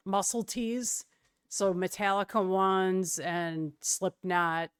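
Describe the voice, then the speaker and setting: A woman's voice: mid-range voice, slow, clear, resonant tone, no echo, studio quality. A young woman from Wisconsin with a slight Wisconsin accent, chatting casually with her stream's chat and laughing often.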